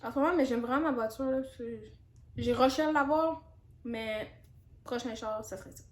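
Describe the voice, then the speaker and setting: A woman's voice: a little high, normal speed. Chatty young Quebec woman, echoey room. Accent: Quebec French. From Canada. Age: 20s. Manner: chatty and candid, with frequent fillers and asides. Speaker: YouTuber. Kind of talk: casual Q&A vlog.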